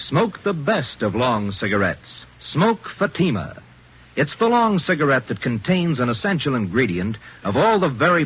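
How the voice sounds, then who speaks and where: Lively vintage radio pitchman, muffled sound. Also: breathy edge. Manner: emphatic sales pitch. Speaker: commercial announcer. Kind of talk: vintage radio cigarette advertisement. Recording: muffled old radio recording.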